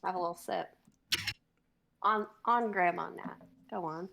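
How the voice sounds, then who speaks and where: A woman's voice: on the low side, unhurried, vocal fry, fairly bright tone. A young woman co-hosting a live stream, talking casually with a group. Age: young adult.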